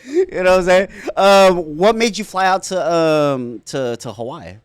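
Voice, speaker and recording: A man hosting a casual interview podcast, talking in a slangy, expressive way with excitable reactions. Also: sits high, measured pace, clean tone, dry recording. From Filipino background. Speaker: podcast host.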